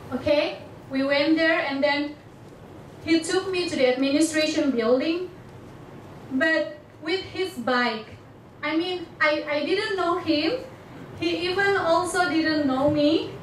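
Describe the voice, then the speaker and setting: A young Indonesian woman with a high voice gives a talk in halting English, often stopping to find her words. Batak is her first language. She is picked up from far off in an echoing lecture hall with clear background noise. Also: a bit bright. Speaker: international student speaker. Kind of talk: personal presentation to an audience. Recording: echoey hall, far mic, noisy.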